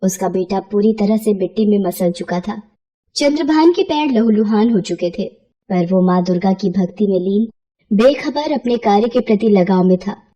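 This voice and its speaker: A woman narrating a scripted bedtime moral story in an expressive storytelling manner. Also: mid-range voice, moderate pace, muffled recording.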